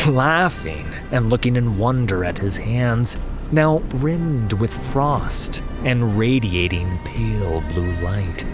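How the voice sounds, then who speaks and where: Guy narrating epic fantasy, kinda deep, slow dramatic read, music behind.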